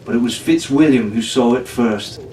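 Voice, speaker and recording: A man's voice: medium pitch, moderate pace, darkish tone. A man reading an adventure storybook aloud as a dramatic narrator. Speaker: storybook reader. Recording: roomy, distant mic, faint background noise.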